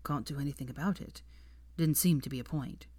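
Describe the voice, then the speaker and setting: A woman voicing a sick, weary young man in an audiobook, hushed and understated, speaking haltingly. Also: husky, no room sound, studio quality. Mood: grim, resigned. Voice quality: hushed, low, subdued.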